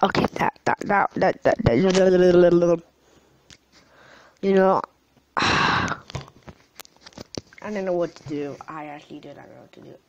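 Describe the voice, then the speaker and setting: A young woman with a tired voice commenting on a game she is playing late at night at home, gasping and jumping between alarm and hushed whispers. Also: fairly low pitch, slow pace, pauses often, dull audio, a little hiss or hum. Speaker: gaming channel host. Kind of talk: live gameplay commentary.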